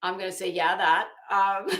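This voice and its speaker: A woman's voice: mid-range voice, steady tone, normal speed, fairly bright tone. A woman hosting a casual livestream chat, talking to viewers in a gossipy way with chuckling, coy asides. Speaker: livestream host. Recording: roomy, echoey room sound.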